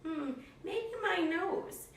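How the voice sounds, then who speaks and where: A woman's voice: very high voice, drawn-out words, voice pushed up, exaggerated voice. A woman voicing a sly fox who sounds falsely helpful and reassuring, in a children's storybook read-aloud. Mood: sly, coaxing. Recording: echoey room, distant microphone.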